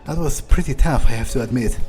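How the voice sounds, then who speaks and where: A man role-playing a jaded, domineering, foul-mouthed male vampire in a video game, thinking aloud in a contemptuous, deliberate way. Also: medium pitch, lightly acted. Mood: weary, dry. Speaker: gamer role-playing in character. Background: faint game music.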